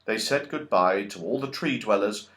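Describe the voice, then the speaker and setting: A man narrating a children's story from a script in an expressive, dramatic storytelling manner. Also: fairly low pitch, moderate pace. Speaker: audiobook narrator.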